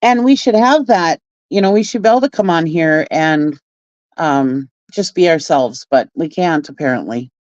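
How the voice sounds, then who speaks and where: A woman's voice: low voice, animated, normal speed, darkish tone, no echo. A woman hosting a casual livestream who rambles, chats with her viewers and laughs often. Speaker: channel host reacting to clips. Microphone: phone. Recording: dry, dull lo-fi sound.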